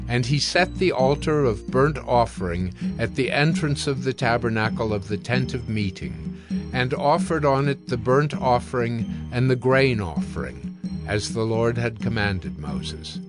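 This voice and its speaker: Male narrator, mid-pitched, solemn, deliberate, scripted scripture reading, music clearly behind. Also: lively intonation. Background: background music.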